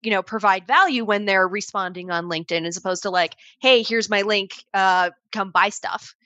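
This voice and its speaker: Woman, bright tone, dry sound, casual explaining, video call. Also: medium pitch, normal speed, no echo, highs cut off. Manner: conversational, explains with quoted examples, restarts often. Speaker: panel guest advising on assistant training.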